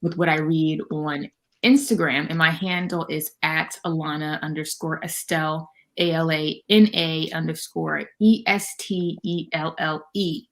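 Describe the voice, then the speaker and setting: A woman's voice: low-pitched, fairly even intonation, unhurried. A woman guest talking about her reading in a conversational, informal way on a livestream. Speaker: livestream guest and book reviewer. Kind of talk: casual chat.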